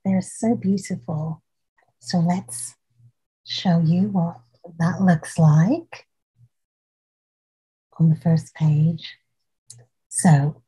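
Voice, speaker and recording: Female storytime host: very husky, mellow voice, gentle read-aloud with asides to small children. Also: lowish voice, rough recording. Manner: gentle, addressing children directly with questions. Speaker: host reading a children's picture book aloud.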